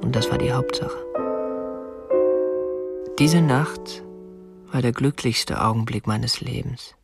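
Controlled young kidnapper, slightly creaky. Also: male voice, medium pitch, slow, over loud music. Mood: detached, confessional. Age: young adult. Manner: matter-of-fact, controlled, politely stiff. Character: prim, obsessive young male kidnapper confessing his crime. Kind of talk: radio drama narration and dialogue.

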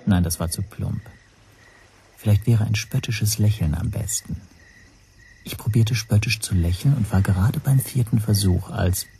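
An actor playing an observant, nervous hotel guest who narrates his own story in a radio drama, measured and precise. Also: on the low side, creaky, mellow, a little hiss or hum. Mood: uneasy. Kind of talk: scripted first-person radio drama narration.

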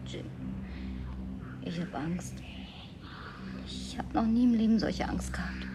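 A woman's voice: mid-range voice, lively intonation, slow, in a whisper. An actress playing an anxious, pleading, hesitant woman in a German science-fiction radio play. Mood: afraid, subdued. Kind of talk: German radio play acting, dramatic dialogue.

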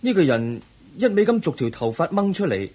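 An actor playing a polite, earnest young seeker in a radio drama, asking questions courteously and expressively. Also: male voice, on the high side, very animated, brisk, mellow, breathy edge. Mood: curious. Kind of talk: Cantonese radio drama acting.

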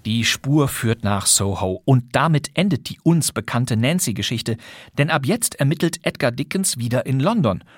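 A man's voice: mid-range voice, animated, moderate pace, no room sound, studio quality. A man hosting a podcast, presenting classic radio plays from a script in a chatty, wry way. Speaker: podcast host.

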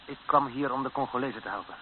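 An actor playing an earnest, subdued captive missionary in a radio drama. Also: male voice, mid-range voice, quick pace, a crowd in the background, natural voice. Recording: tinny, narrow old radio recording.

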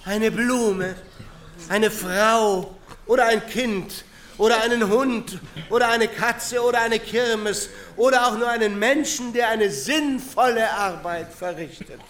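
A man playing a pompous English officer in a radio drama, speaking in measured, declamatory phrases. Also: high-pitched, a bit bright. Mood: wistful, genial. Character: pompous English officer addressing captive soldiers, wistful, genteel.

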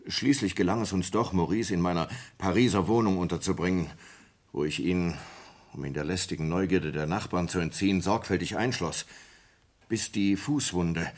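An actor playing a distraught sailor in a radio play, confessing to a horror he witnessed in a halting, agitated manner. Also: deep voice. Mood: recounting, tense. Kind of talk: dramatic German radio play monologue.

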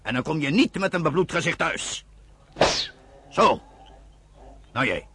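A man's voice: a little high, sing-song, measured pace. An actor playing a stern, proud farmer father in a Dutch radio drama, speaking in an emphatic, commanding way. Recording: noisy old radio play recording.

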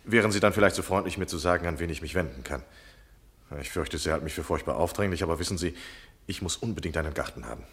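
An American man of letters in a vintage radio drama, with a low-pitched voice. He is courteous and ingratiating on the surface, insistent and scheming underneath. His speech is effusive and theatrical in the 1960s manner. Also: expressive, fast, fairly bright tone. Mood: courteous, eager. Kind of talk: 1960s German radio play acting.

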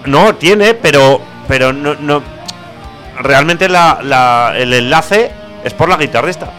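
A man's voice: on the high side, takes it slowly, bright, forceful tone. A man with a Spanish accent from Spain co-hosting a radio show, commenting casually in a blunt, colloquial way. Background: guitar music.

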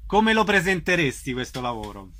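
A man's voice: a little high, big pitch swings, fairly quick, crowd noise. A man hosting a radio interview, announcing shows and asking short questions.

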